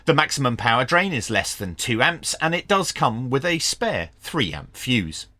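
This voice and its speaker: A man's voice: medium pitch, bright, forceful tone, dry recording. A man narrating a product review to viewers in a matter-of-fact, explanatory way. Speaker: reviewer and channel host.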